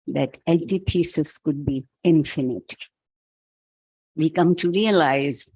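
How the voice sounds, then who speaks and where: A man with a Pakistani accent giving a reflective keynote talk, speaking in a measured, halting, philosophical way. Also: on the high side, warm tone, no echo, poor audio. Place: event hall.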